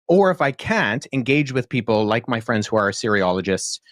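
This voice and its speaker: A young man speaking as a debate guest, earnest and articulate, laying out his reasoning at a measured pace with frequent fillers. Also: medium pitch, big pitch swings, a bit bright, no echo, clean studio sound. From born in Pakistan, childhood in Jordan. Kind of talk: podcast debate answer.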